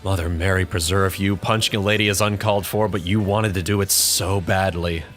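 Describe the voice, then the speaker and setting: Guy reading a story as narrator, lowish voice, bit of music under it. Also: steady tone, a little fast, a bit bright. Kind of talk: scripted second-person story narration.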